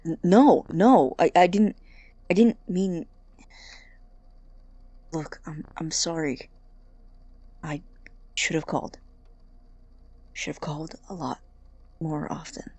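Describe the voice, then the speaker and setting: A man acting in an audio drama as an awkward, earnest, apologetic college student who stammers and speaks softly. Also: on the high side, fairly even intonation, slow, long pauses. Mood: apologetic, flustered. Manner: hesitant, stammering, soft and breathy when sincere.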